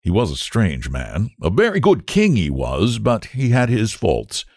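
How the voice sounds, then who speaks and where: A man's voice: lowish voice, huge pitch swings, measured pace, a little mellow, no room sound, studio quality, exaggerated voice. A man voicing an anxious, courtly elderly statesman in a storybook, hesitant and deferential. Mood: wistful.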